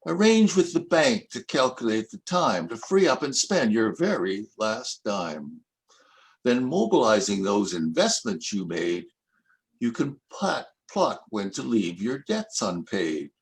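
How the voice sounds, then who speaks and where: Slow, reflective older man reading poems. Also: on the low side. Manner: slow, measured, reflective recitation. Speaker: poet reading his own work. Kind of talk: poetry reading.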